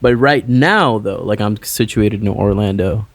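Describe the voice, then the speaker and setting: A man's voice: on the low side, a little slow, no echo. A young man from Puerto Rico, a musician guest chatting loosely in an interview with lots of "like" fillers. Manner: loose, rambling, heavy on "like" fillers. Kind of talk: casual interview chat.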